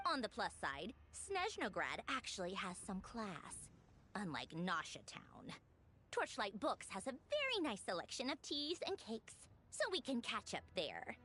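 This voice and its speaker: A woman's voice: mid-range voice, huge pitch swings, measured pace, pushed and bright, slight background noise, full character voice. A woman playing a poised, haughty and teasing noblewoman in a video game, refined, languid and condescending.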